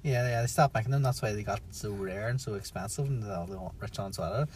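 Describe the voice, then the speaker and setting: A man's voice: fairly low pitch, quick pace, a little mellow, slight background noise. A man co-hosting a casual podcast, teasing his friend and laughing often. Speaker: podcast co-host.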